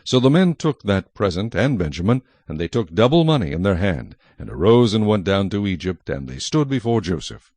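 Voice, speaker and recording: A man narrates scripture in a dramatized audio style. His voice is low and very animated in pitch, with a dry studio sound. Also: brisk, hardly any pauses, no room sound.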